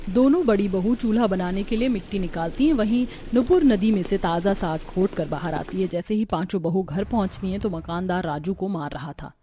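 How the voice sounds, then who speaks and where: A woman's voice: medium pitch, a little fast, hardly any pauses, warm tone. A woman reading scripted narration for a cartoon story as its narrator.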